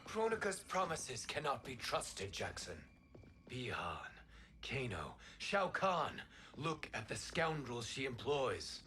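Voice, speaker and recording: An actor playing a solemn, earnest wind god in a video game cutscene, speaking in a formal, measured way. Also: male voice, mid-range voice, very animated, sharp tone, heavily acted. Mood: urgent warning. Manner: grave, formal, measured speech.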